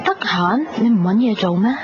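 A woman's voice: medium pitch, animated, moderate pace, background noise, natural voice. Actress as lovesick young Hong Kong woman, muffled radio. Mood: mildly exasperated. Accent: Hong Kong Cantonese. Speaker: radio drama actress and narrator. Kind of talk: 1990s radio drama dialogue and narration. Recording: dull 1990s radio recording, music bed.

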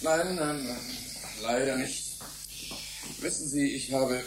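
A man's voice: mid-range voice, slow pace, background noise, close to an everyday voice. An actor playing a genial, erudite older scientist in a German radio drama, savoring his words in a fatherly way. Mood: worried. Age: middle-aged. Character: genial, enthusiastic older titled scientist, Michael's fatherly senior.

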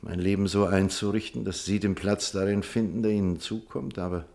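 An earnest, low-voiced doctor in love. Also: male voice, fairly even intonation, creaky, darkish tone. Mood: regretful. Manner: formal, insistent, measured. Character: earnest, conflicted male doctor in love with his colleague. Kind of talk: German radio drama acting.

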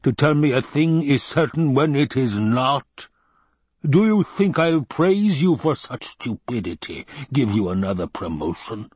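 Man playing a slow, rumbling, menacing baron. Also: animated, husky, no room sound, heavily acted. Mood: roaring anger. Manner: slow, contemptuous, imperious. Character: menacing, scheming, obese male baron and tyrant. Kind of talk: dramatised audiobook.

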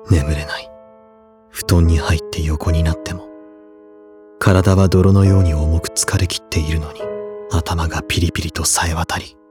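A reserved, tormented high school boy in an anime audio drama. His low voice is grave and brooding, set against prominent music.

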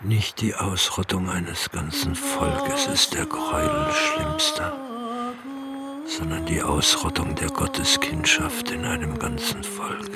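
An actor playing a dignified, devout Turkish Aga in a radio drama, speaking solemnly and deliberately in a declamatory way. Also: male voice, very high voice, huge pitch swings, full character voice. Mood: solemn lament. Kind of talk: literary radio drama acting. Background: music.

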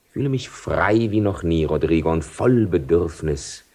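Cynical seducer nobleman, low, sweeping delivery. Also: animated, normal speed, darkish tone. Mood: detached, exalted. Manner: theatrical, articulate, ironic, declaiming. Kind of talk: 1970 German radio drama acting.

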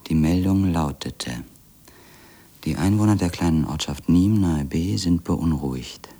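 An actor playing a brooding, wearily proud former editor who narrates a literary radio drama in a halting manner. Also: male voice, low voice, warm tone. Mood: matter-of-fact. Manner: halting, repeats words, trails into murmurs. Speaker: narrator and protagonist of a radio play.

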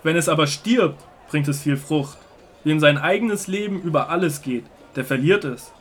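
A man playing a solemn, earnest male prophet and teacher in an audio drama of the Gospel, speaking in measured declarations. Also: fairly high pitch, soft background music, lightly acted. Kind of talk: audio drama Bible dialogue.

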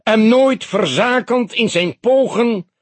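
A man voicing a pompous preacher in an audiobook, declaiming a funeral eulogy in a solemn, drawn-out, loudly raised voice. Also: very high-pitched, no echo, voice pushed up, exaggerated voice. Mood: solemn, stately.

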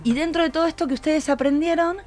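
A woman's voice: sits high, fast talker, a little mellow. An Argentine woman hosting a casual live radio interview, encouraging her guests and prompting them with questions. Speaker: radio show host interviewing students. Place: radio studio. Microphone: studio microphone.

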